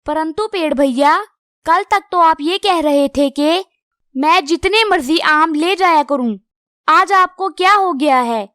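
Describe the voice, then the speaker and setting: A woman's voice: very high voice, a little slow, fairly bright tone, dry recording, clean studio sound. A woman voicing a friendly, polite little female bird who sells mangoes in a children's cartoon. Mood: pleading, puzzled.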